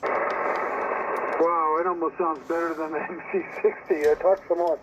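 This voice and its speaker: A man's voice: fairly high pitch, little pitch movement, a little slow, a bit bright. A man in a casual, opinionated, rambling ham radio chat, heard over a narrowband radio link. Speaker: amateur radio operator. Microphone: received over ham radio. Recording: tinny narrowband radio transmission with static noise.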